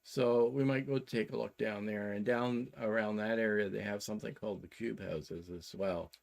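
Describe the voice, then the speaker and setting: A man in his sixties with a Canadian accent, chatting casually as a show co-host and explaining plans in a halting, methodical way. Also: lowish voice, steady tone, gravelly. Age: 60s.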